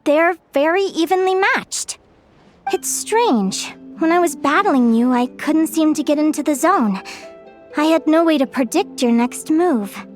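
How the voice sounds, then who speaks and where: A cheerful young bird fae adventurer with a high, expressive voice. She sounds earnest, curious and dreamy, as a video game heroine does. Clear game music plays underneath. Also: unhurried. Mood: friendly.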